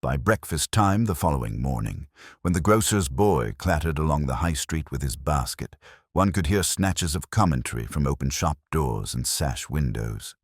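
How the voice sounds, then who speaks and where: A man narrating a radio mystery in a measured, dryly ironic storytelling manner. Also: very deep voice, expressive, breathy edge, dry recording, clean studio sound.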